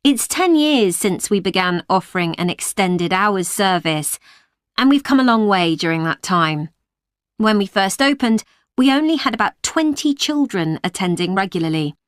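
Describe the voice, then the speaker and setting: A woman speaking as a childcare manager, giving a polite, clear talk to parents. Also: medium pitch, expressive, normal speed, no room sound, studio quality. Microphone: studio microphone, dry.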